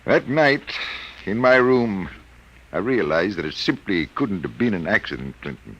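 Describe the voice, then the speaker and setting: A man's voice: sits low, very animated, measured pace, a bit bright, highs cut off, heavily acted. An American actor playing a mild, middle-aged college professor troubled by his own power, speaking formally and hesitantly in 1950s radio drama style. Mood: intent. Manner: formal, precise, hesitant wording.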